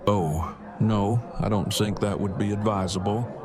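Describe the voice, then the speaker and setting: Audio drama role: male actor as socially awkward brainy man, low register, formal verbose deadpan, music underneath. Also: a little slow, close to an everyday voice. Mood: awkward, reluctant. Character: awkward, formal, bookish man with stiff wordy speech. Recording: music playing behind the voice.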